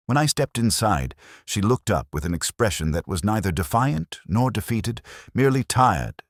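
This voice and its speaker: Man as very deep, world-weary detective narrator. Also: slightly rough, no room sound, clean studio sound, full character voice. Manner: measured, solemn, literary delivery. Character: world-weary, reflective male English police detective narrator. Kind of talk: scripted first-person dramatic narration.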